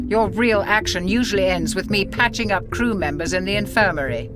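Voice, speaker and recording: An actress playing a calm, measured female ship's doctor in a video game. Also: mid-range voice, over loud music, natural voice. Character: level-headed female ship doctor. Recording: game audio with score.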